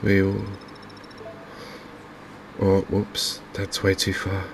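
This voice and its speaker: A soft-spoken man giving unhurried ASMR commentary on a video game. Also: sits low, completely flat delivery, gravelly, noisy background. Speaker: gaming video host.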